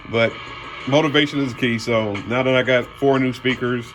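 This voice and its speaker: A man's voice: medium pitch, steady tone, moderate pace, background noise. A man hosting a car audio vlog, talking casually and colloquially, addressing viewers as y'all and man. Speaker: car audio channel host. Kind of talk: casual product unboxing talk.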